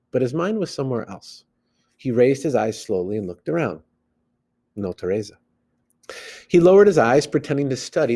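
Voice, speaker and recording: A man reads a story aloud in a mellow, relaxed tone. His pitch moves around in a lively way as he narrates expressively, in the manner of a teacher with a class text. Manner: animated, acts out the dialogue.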